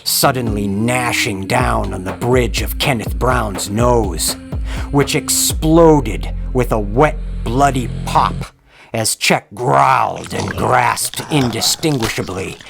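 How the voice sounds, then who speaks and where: A man narrating a scripted horror story in a dramatic, deliberate way. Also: medium pitch, creaky. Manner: dramatic, ornate, deliberate phrasing. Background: dramatic music bed.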